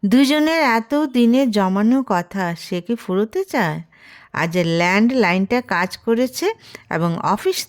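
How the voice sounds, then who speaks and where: A woman reading a scripted romantic story aloud as a storyteller. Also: medium pitch. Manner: reads character dialogue within the narration.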